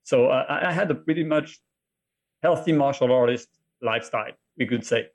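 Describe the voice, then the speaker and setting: A middle-aged man speaking with a French accent in an even, middle-range voice. He talks as a podcast guest, often stopping to restart or repeat a word as he tells his story. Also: mid-range voice. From France. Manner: halting, repeats words while telling his story. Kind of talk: interview conversation.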